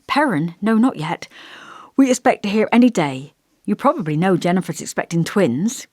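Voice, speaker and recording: A courteous, reserved woman of twenty in audiobook dialogue, voiced by a woman reader. The manner is plain-spoken and a little hesitant. The pitch is expressive and the recording is dry. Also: moderate pace, no room sound, close to an everyday voice. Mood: polite. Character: polite, reserved twenty-year-old woman, Abigail.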